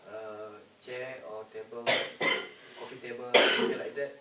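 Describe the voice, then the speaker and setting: A man's voice: medium pitch, level tone, a little slow, bright, forceful tone. A young man with a Malaysian accent, a wood science student, speaking hesitantly with many fillers. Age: young adult. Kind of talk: casual group discussion. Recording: roomy, distant, noticeable hiss.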